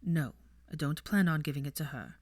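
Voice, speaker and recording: A woman voicing a calm, sardonic young aristocratic man in an audiobook story, quiet and matter-of-fact. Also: low voice, moderate pace, no room sound, clean studio sound. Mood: calm, firm. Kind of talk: audiobook character voice.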